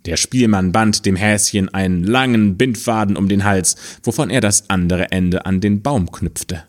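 A man reading a fairy tale aloud in a slow, expressive storytelling manner. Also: lowish voice.

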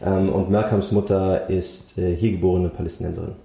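This man has a low, mellow voice. He chats casually as a podcast guest, plain and matter-of-fact with a teasing edge. The sound carries a roomy echo. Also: little pitch movement, fairly quick, creaky. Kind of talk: casual chat.

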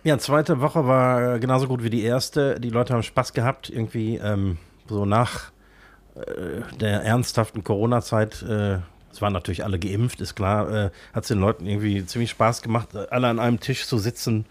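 A man with a cold and a blocked nose, his voice pitched fairly low. He co-hosts a podcast in casual chat and hesitates often with filler sounds. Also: fairly even intonation, moderate pace. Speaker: podcast co-host. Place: cold covered tent studio.

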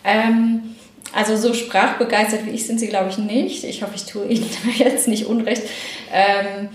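A woman's voice: medium pitch, flat delivery, a little slow. A woman press spokesperson answering interview questions thoughtfully, with frequent fillers. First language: German. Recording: echoey room.

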